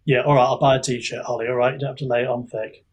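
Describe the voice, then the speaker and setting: A man with a husky voice in casual podcast chat as a guest author, rambling and self-deprecating, often halting and repeating himself. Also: medium pitch, level tone.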